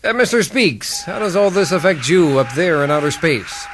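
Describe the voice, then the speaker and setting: A man playing an earnest, formal television news anchor in satirical radio theater, hosting with measured, exasperated seriousness. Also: on the high side, lively intonation, over music, lightly acted. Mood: formal, composed. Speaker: host of a parody news broadcast.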